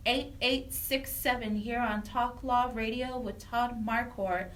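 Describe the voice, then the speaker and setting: A woman's voice: a little high, recorded from a distance, low-quality recording. A woman co-hosting live radio, announcing phone numbers and inviting listeners to call in. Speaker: radio co-host.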